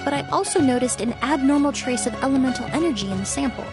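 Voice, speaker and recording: A woman voicing a composed, courteous young investigator in a video game, speaking in a measured, precise and polite way. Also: high voice, expressive. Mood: measured, analytical. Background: background music.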